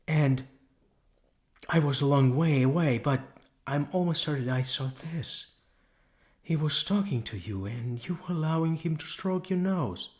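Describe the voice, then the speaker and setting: Man voicing a stern motherly mare, raised pitch. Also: natural voice. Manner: grave, deliberate questioning. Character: stern, concerned motherly mare confronting a friend. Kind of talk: dramatised storybook reading.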